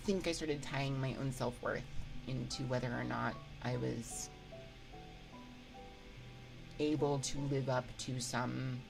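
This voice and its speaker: A woman hosting a casual livestream chat, thinking aloud in a hesitant, halting way into a close microphone. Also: extremely low pitch, fairly even intonation, creaky, music in the background. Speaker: livestream host. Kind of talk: casual livestream chat, answering viewer comments. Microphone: close binaural microphone.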